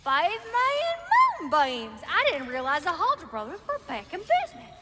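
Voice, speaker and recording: A woman's voice: very high voice, huge pitch swings, moderate pace, very noisy, exaggerated voice. An actress playing a brash, sassy female dwarf blacksmith in a video game, teasing in folksy slang. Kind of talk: cinematic video game acting.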